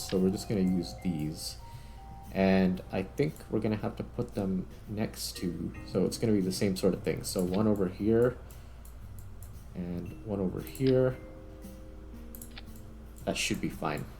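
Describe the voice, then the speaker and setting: A man giving casual let's-play commentary as a gaming video host, thinking aloud in an informal way. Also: fairly low pitch.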